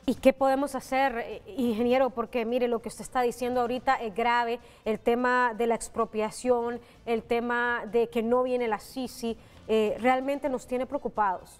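Young woman news anchor, kinda high voice, reverby studio, polite worried questions. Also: steady tone, normal speed, faint music behind.

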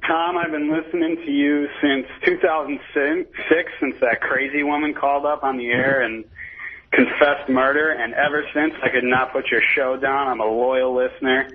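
A man's voice: medium pitch, fairly even intonation, moderate pace, husky. A man calling in to a radio show, casual and chatty, as a loyal listener. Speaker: caller. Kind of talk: call-in radio talk. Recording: tinny phone line.

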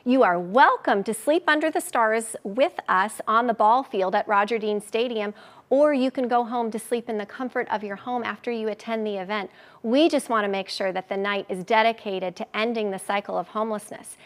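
A woman in her forties, a charity spokesperson, talking eagerly and warmly as a guest in a live TV studio interview, recorded on a lapel mic. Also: a little high, moderate pace. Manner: upbeat, eager, promotional. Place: TV news studio.

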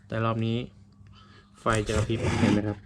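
A man's voice: lowish voice, completely flat delivery, normal speed, background noise. A man giving a casual hands-on tutorial, explaining informally step by step in short phrases. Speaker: vlogger giving a product tutorial.

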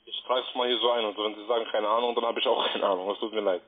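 A man's voice: on the low side, steady tone, racing. A man making a phone sales call as a call centre agent, formal and insistent. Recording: tinny narrow phone line.

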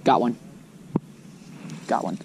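A teenage boy chatting excitedly while fishing from a lake shore, recorded on a head-mounted camera. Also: slow. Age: teens. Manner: excitable, exclaiming over catches. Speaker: fishing vlogger. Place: outdoors on a rocky lake shore. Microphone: head-mounted action camera. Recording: noisy outdoor camera audio.

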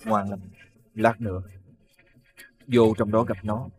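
An actor playing a menacing gang boss who gives slow, threatening orders. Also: male voice, fairly low pitch, flat delivery, a little mellow.

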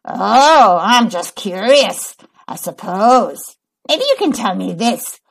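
A grumbly big bad wolf from a children's storybook, acted out by a woman. The voice is a crackly growl that swoops with expression. The words come slowly and slyly, drawn out by a hungry beast. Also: medium pitch, expressive, slightly rough, full character voice. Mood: sly menacing. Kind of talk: children's storybook read-aloud.

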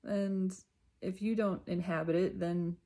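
A woman's voice: fairly low pitch, steady tone, measured pace, mellow. A woman voicing an indignant, controlling ego, pleading and bossy as it demands its drama back.

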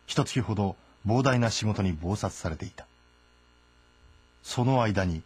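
A man giving measured, solemn scripted narration of a historical tale. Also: on the low side, breathy edge, dry recording. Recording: narration over background music.

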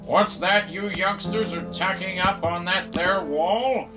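Guy playing folksy wary adventurer, slightly high male voice, music behind him. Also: takes it slowly. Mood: wary, indignant. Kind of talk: audio drama acting.

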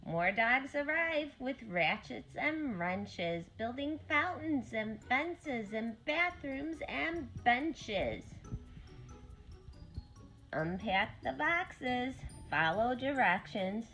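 A woman with a high voice reads a rhyming children's book aloud. Her pitch moves widely and her pace is unhurried, each phrase set out deliberately for young listeners. Music plays behind her. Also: expressive, a bit bright, rough recording. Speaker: children's story-time reader. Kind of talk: animated read-aloud of a rhyming picture book.

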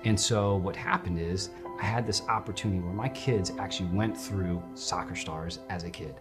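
A man's voice: low voice, normal speed. A man speaking passionately and conversationally as a company executive in a promotional interview. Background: music.

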